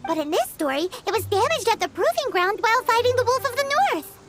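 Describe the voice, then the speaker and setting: An actress playing a tiny, chatty, excitable fairy companion with a childlike voice in a video game. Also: female voice, extremely high pitch, brisk, very noisy, heavily acted. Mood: pondering. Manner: perky, speaks of herself in third person.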